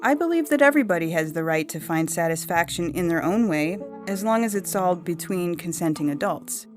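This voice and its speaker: A woman's voice: fairly low pitch, expressive, fairly quick, close to an everyday voice. A woman reading a comic story as a chatty, blunt young woman abducted by aliens, with a breezy, emphatic, slangy delivery. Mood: chatty, knowing. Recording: music under the voice. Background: music.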